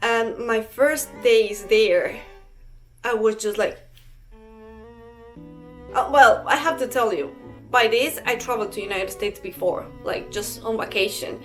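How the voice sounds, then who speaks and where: A woman's voice: on the high side, measured pace, pauses often, faint music behind. A young woman from Ecuador with an Ecuadorian Spanish accent, telling a rambling casual storytime vlog full of fillers and restarts. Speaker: vlogger.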